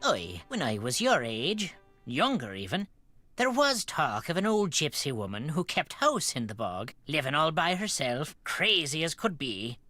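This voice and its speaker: A woman's voice: deep voice, sing-song, unhurried, sharp tone, dry recording, faint music behind, heavily acted. An actress playing a blunt, cryptic old Irish village woman in a video game, with a folksy, portentous way of telling things. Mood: warning, scornful. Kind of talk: video game character dialogue.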